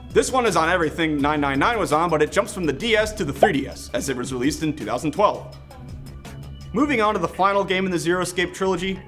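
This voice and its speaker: Higher-voiced man reviewing games over music. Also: fairly bright tone. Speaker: video game reviewer. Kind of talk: enthusiastic scripted review narration. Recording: music playing behind the voice. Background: background music.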